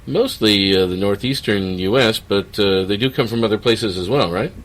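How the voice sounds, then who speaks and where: A man's voice: lowish voice, normal speed, fairly bright tone. A man hosting a radio interview, prompting guests with short leading questions. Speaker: radio show host.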